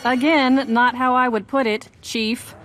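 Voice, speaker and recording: Female actor as sincere young shop worker, diplomatic, slightly high, music behind. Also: steady tone, a little slow, close to an everyday voice. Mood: apologetic.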